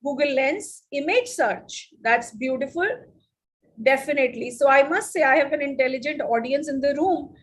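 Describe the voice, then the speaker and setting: A woman's voice: sits high, moderate pace, a little hiss or hum. A middle-aged woman with an Indian English accent, leading a live workshop for teachers and quizzing the room with questions. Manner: lively, addresses the audience with questions. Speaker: teacher trainer.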